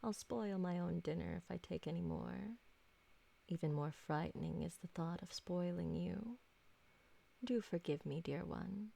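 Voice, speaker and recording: A woman playing an ancient, refined and doting female vampire in an intimate ASMR roleplay, soft-spoken and tender, with genteel, formal wording. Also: fairly low pitch, a little slow, creaky, exaggerated voice. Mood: apologetic, wistful.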